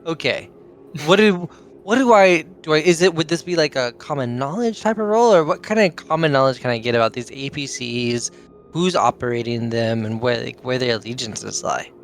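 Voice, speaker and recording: A man's voice: medium pitch, animated, normal speed, a little hiss or hum. A man playing a tabletop game, thinking aloud casually and often saying "like". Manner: informal, peppers speech with "like". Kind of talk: casual chat, questioning the game master.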